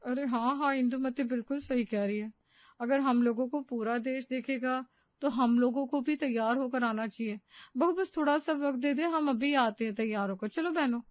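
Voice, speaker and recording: A woman's voice: high-pitched, moderate pace, no room sound, highs cut off, close to an everyday voice. A woman voicing an agreeable village neighbour in a cartoon story, eager and approving. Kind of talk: cartoon moral-story dialogue.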